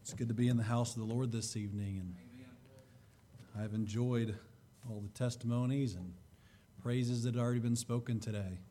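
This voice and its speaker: A man preaching a sermon and reading scripture, slightly hoarse, with a deliberate, emphatic delivery. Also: mid-range voice, steady tone, mellow, slight background noise.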